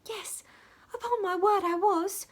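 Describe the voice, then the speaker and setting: A woman's voice: very high-pitched, unhurried, pitched above the performer's own voice. A woman voicing a small, timid, downtrodden English servant girl in a storybook, with pleading, uneducated speech. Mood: sheepish.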